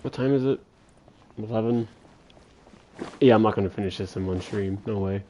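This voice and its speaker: A man's voice: fairly low pitch, normal speed, mellow, slight background noise. A man giving casual, wry commentary as he livestreams a video game, laid-back in manner. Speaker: game streamer.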